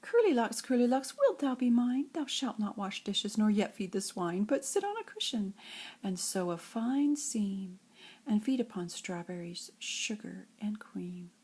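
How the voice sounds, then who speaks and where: An older woman with a breathy, husky voice reads nursery rhymes like a grandmother, in an expressive singsong storytelling way. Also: medium pitch, a little slow. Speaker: grandmother reading to children.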